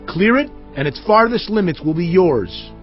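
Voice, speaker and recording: A man's voice: high voice, expressive, moderate pace. A man playing a commanding Israelite leader with emphatic, oratorical delivery in a dramatized audio Bible. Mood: resolute, rousing. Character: Joshua, commanding male Israelite leader addressing his tribes. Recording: muffled audio with music behind.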